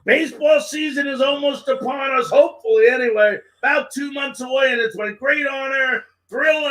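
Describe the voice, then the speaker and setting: A man's voice: very high voice, pushed and bright, poor audio. A man hosting a live sports talk show, speaking with an emphatic, announcer-style delivery.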